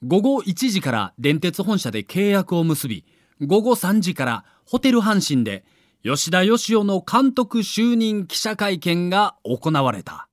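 High-pitched, dramatic male documentary narrator. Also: sing-song, measured pace, no room sound, clean studio sound. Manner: dramatic, emphatic storytelling. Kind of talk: scripted audio documentary narration.

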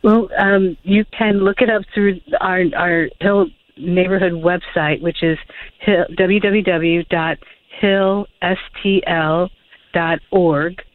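A woman's voice: on the low side, steady tone, slow, no room sound. A woman author talking as a radio guest over the phone, hesitant, with many fillers and restarts. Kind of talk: phone interview chat. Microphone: telephone. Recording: tinny phone line.

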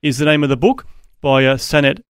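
A man hosting a radio interview, encouraging and warm in his replies, with hesitant fillers. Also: a little high, brisk, smooth, no echo, studio quality. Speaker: radio host.

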